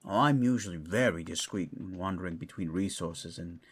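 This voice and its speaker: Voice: low-pitched, a little fast, slightly rough. A performer playing an eccentric, genial and chatty male fantasy lord in an audio roleplay, with theatrical, courtly, mischievous delivery. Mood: playful.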